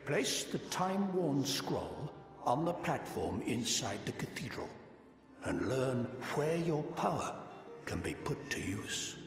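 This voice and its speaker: A man's voice: mid-range voice, big pitch swings, slow. A man voicing a solemn, mystical old guardian spirit in a fantasy game, grave and portentous in manner. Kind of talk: fantasy video game character acting. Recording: echoey hall, long reverb, music behind.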